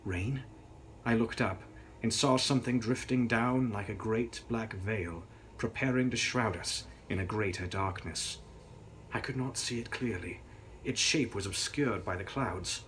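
A young man giving a measured, theatrical reading of gothic fiction as its author. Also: lowish voice, fairly bright tone, slight background noise, poor audio. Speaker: author reading own novel. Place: dark indoor room, on camera.

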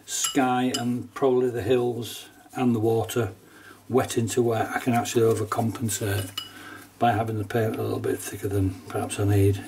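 A middle-aged man with a stuffy, nasal voice from a cold talks through a watercolour demonstration in a relaxed, chatty way. His voice sits fairly low and a little away from the microphone. Faint household noise hums behind him. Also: normal speed, creaky, distant mic. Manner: relaxed, chatty, with small laughs and fillers. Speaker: watercolour teacher. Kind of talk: casual step-by-step painting demonstration. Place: painting desk at home, indoors.

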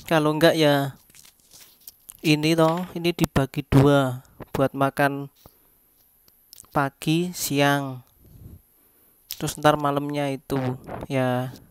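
Slow, even-toned vlogger, halting delivery. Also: medium pitch, slight background noise. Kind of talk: casual vlog narration over close-up shots.

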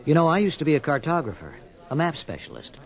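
An actor playing an eager mapmaker who assists a secret team in a dramatised story record. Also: male voice, a little high, sing-song, fast. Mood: eager, confident.

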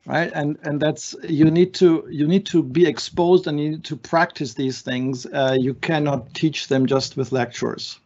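A man's voice: fairly high pitch, steady tone, measured pace, hardly any pauses, a little mellow. A man in his sixties, born in Germany and raised in Brazil, answering seminar questions from his home study over a video call, thoughtful and hesitant with many restarts. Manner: thoughtful, with frequent fillers and restarts. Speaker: physician guest speaker. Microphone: video call webcam. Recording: muffled video call audio.

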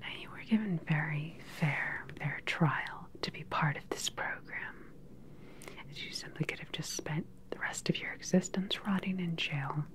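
A woman playing a calm, sinister cyborg scientist in an ASMR roleplay, speaking close to the mic in a slow, deliberate, soothing and clinical way. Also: deep voice. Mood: coolly sinister. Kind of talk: ASMR soft-spoken whispered roleplay. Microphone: close ASMR microphone.

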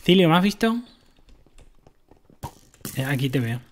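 A man's voice: fairly high pitch, big pitch swings, measured pace, a little hiss or hum. A man giving casual commentary on a game, explaining items to his viewers. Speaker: gaming streamer.

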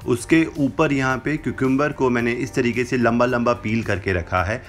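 A young man hosts a home cooking show in an instructive, steady way, dropping English phrases into his explanations. His voice sits at an average pitch. Faint music plays softly beneath him. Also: moderate pace. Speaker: cooking channel host. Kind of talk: recipe demonstration voice-over. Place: home kitchen with brick wall.

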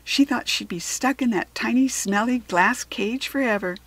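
A woman with a standard American accent reading a children's chapter book aloud in an expressive, teacherly way. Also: on the high side, moderate pace.

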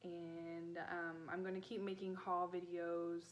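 Woman, thirties, casual vlogger, slightly low voice, very unhurried delivery, home recording. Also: flat delivery. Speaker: vlogger and online vintage reseller. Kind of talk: casual haul video chat. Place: small living room at home, indoors. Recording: clear background room noise.

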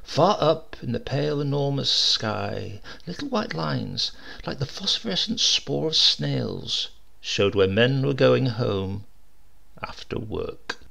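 A man reading a comic short story aloud as narrator, expressive and lively in his delivery. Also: mid-range voice, slow, creaky. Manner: lively, expressive reading with varied character voices. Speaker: audiobook reader.

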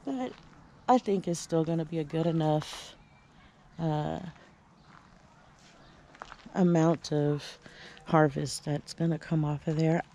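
A woman strolls through her backyard garden and chats about her plants in an unhurried, relaxed way. Her voice is on the lower side and mellow, picked up by the camera she carries outdoors, with a little faint noise around it. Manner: chatty and relaxed, many fillers and restarts. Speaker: home gardener giving a garden tour. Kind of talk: casual walking garden tour.

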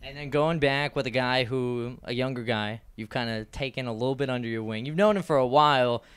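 A man's voice: mid-range voice, fairly quick, fairly bright tone, clear, resonant tone. A young man with a handheld mic, hosting a postgame sports interview with long, rambling, upbeat questions. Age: young adult. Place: small indoor press backdrop.

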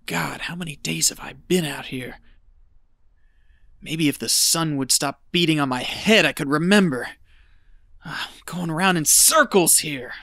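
An actor playing an exhausted, rambling treasure hunter losing his wits, folksy and breathy, muttering to himself in an audio drama. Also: fairly high pitch, bright, forceful tone, no echo, clean studio sound, heavily acted. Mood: exhausted, dazed. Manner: folksy, muttering to himself, slow halting delivery.

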